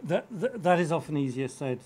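A middle-aged man moderating a panel discussion, haltingly, often repeating his opening words. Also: on the high side, big pitch swings, background noise. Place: conference room, indoors.

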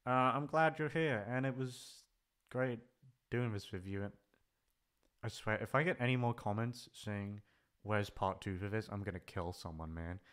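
A man's voice: mid-range voice, moderate pace, a bit bright. A man chatting casually in a rambling, hesitant way, swearing freely as he goes. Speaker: ASMR voice actor, channel creator. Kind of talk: rambling casual farewell chat.

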